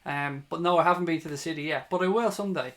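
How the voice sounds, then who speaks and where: Male livestream football host, Dublin accent, fairly high voice, blunt fan talk with swearing. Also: quick pace, fairly bright tone. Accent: Dublin Irish. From Ireland, from Dublin. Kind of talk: live football watch-along commentary, chatting with viewers.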